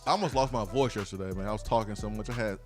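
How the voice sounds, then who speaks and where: Sports radio host with a strained voice. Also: male voice, fairly low pitch, rapid-fire, soft background music. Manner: loose, rambling, many fillers. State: weak, strained voice. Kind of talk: casual radio talk and ad reads.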